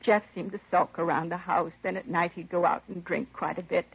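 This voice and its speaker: A woman's voice: on the low side, steady tone, fairly quick, full character voice. An actress playing a composed middle-aged mother of a slain son in a 1950s radio crime drama, answering plainly and with restraint. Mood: distressed. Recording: vintage 1950s radio, narrow husky sound.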